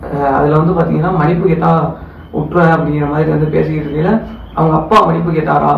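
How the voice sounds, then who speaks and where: A man delivers casual, critical commentary at a quick clip. His voice is gravelly and fairly high. The recording is distant and echoey. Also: flat delivery. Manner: colloquial, conversational, critical. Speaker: commentary video host. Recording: distant, echoey, dull with faint noise.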